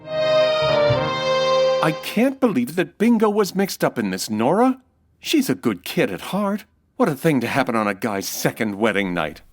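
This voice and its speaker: A man's voice: on the high side, sing-song, measured pace, faint music behind. A man playing an earnest, anxious and lovestruck society groom in a comedic radio-style drama. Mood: worried. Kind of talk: comedic old-time radio drama acting.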